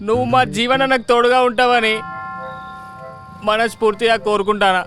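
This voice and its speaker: Man acting a high, bright-voiced mock-pious friend. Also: fairly even intonation, normal speed, faint music behind. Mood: mock-pious pleading. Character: earnest male friend praying mock-piously for the dead. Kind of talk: comedic web series acting.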